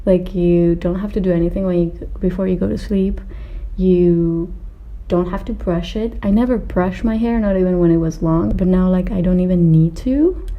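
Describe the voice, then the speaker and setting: A woman's voice: on the low side, normal speed, phone-quality sound. A woman in her twenties from Austria and Greece chatting casually as a video creator, in an informal, rambling and slightly hesitant way. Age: 20s. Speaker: video creator answering viewer questions. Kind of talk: casual chat to camera.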